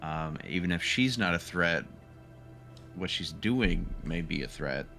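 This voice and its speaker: A man with a low, bright and forceful voice plays a tabletop roleplaying game. He slips casually between talk and in-character lines, with faint music underneath. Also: expressive, measured pace.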